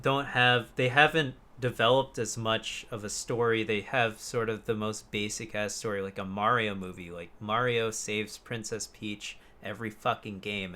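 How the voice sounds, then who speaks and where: A man's voice: fairly low pitch, bright, forceful tone. A young man co-hosting a podcast, chatting casually about video games in a rambling, informal way with plenty of swearing. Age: young adult. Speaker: podcast co-host.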